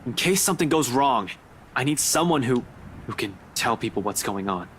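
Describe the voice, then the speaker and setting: A man's voice: mid-range voice, animated, moderate pace, fairly bright tone, slight background noise, lightly acted. A man voicing a guarded, blunt detective in a horror visual novel, terse and commanding. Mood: urgent, firm. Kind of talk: visual novel dialogue read aloud.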